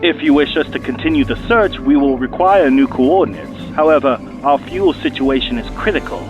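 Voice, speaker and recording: A man playing a stoic starship captain in a sci-fi audio drama, giving a clipped, formal military report over a radio transmission. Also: medium pitch. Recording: dull radio transmission, music behind.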